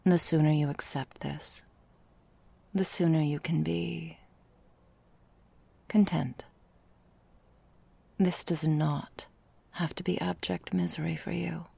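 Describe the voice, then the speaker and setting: Casting: actress as seductively menacing female vampire for ASMR roleplay. Very gravelly fry, breathy and husky, slow and deliberate, melancholy. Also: sits low, fairly even intonation, warm tone, full character voice. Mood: solemn, coaxing. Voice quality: breathy, husky, half-whispered. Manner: slow, deliberate, archaic formal phrasing. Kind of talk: ASMR roleplay, intimate dramatic monologue.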